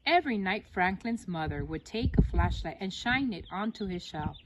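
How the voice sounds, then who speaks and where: Woman reading aloud, very lively pitch. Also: moderate pace, noisy background. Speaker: storyteller addressing children. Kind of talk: read-aloud children's story narration.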